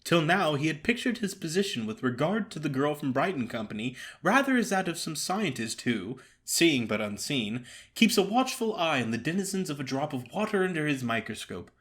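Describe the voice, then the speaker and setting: A man narrating a story in a theatrical, formal and expressive way, as an actor performing an audio drama. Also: fairly high pitch, normal speed, hardly any pauses.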